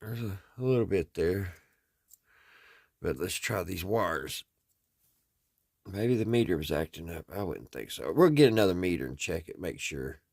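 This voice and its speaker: A man's voice: low-pitched, animated, normal speed, creaky, long pauses, darkish tone, dry recording, studio quality. An American man talking casually and folksily, thinking aloud as he hosts a hands-on repair vlog. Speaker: host of a radio repair video.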